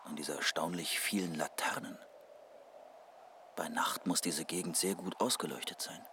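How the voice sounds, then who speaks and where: A man's voice: sits low, steady tone, normal speed, bright, forceful tone, a little hiss or hum. An actor playing a hapless, grumbling man in a German radio drama, hesitant and dryly sarcastic. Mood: muttering, grumbling. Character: hapless, grumbling male client on an absurd quest.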